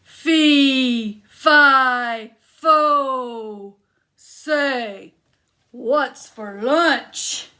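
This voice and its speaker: Woman voicing a huge grumpy giant man, loud, complaining, slow drawl. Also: exaggerated voice. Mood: grumbling. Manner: booming, complaining.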